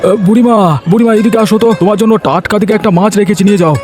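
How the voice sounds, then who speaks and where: A man's voice: high-pitched, very fast, a little mellow, lightly acted. A man voicing a kind-hearted village fishmonger in a cartoon, solicitous and eager. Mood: friendly, generous. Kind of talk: Bengali cartoon voice acting. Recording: music behind the voice.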